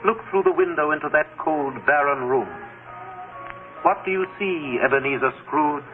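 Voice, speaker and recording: An actor playing a grave, ageless spirit guide, speaking in a stately, commanding manner in an old radio drama. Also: male voice, on the high side, normal speed, close to an everyday voice. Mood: grave, probing. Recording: tinny vintage radio broadcast, music behind.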